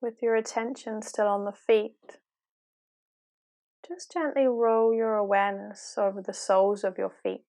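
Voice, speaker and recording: A woman speaks as a meditation guide at a medium pitch. Her delivery is calm and gentle, giving soft instructions without any hurry and leaving long pauses between phrases.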